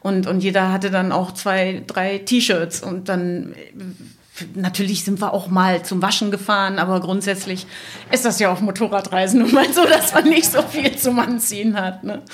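A woman's voice: mid-range voice, fairly even intonation, normal speed. A middle-aged woman telling travel stories as a podcast guest in a casual, chatty, colloquial way.

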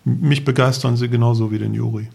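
A man's voice: medium pitch, steady tone, brisk, vocal fry, darkish tone, breathy edge. A middle-aged man casually telling detailed travel stories as a podcast guest, with enthusiasm.

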